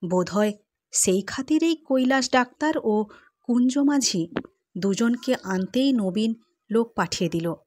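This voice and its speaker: A woman reads a classic short story aloud, slow and measured, with a fairly high voice. The sound is dry and close, with no room around it. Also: animated, a little mellow, dry recording. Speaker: audiobook narrator. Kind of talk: scripted literary narration.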